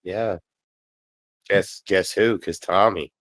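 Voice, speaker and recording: A man's voice: deep voice, fairly even intonation, slow pace, fairly bright tone, no echo. A man joking around irreverently in a casual film commentary. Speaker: commentary track participant.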